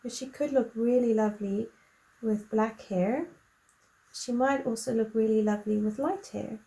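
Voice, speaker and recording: A woman hosting a colored-pencil tutorial in a relaxed, chatty way, her voice croaky from a lingering cough. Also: fairly high pitch, low-quality recording. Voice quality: slow, soft-spoken and gentle.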